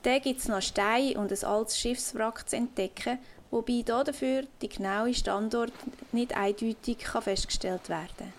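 Audio-play pirate note reader, acted by a woman: highish voice, hearty and deliberate, over noisy ambience. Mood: hearty, instructive. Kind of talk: scripted message in an improvised audio play.

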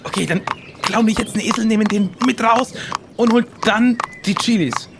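A man playing a bold, scheming pirate gang leader in an improvised adventure audio play, animated and exclaiming. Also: sits high, fairly quick. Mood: eager, bossy. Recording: clearly noisy background.

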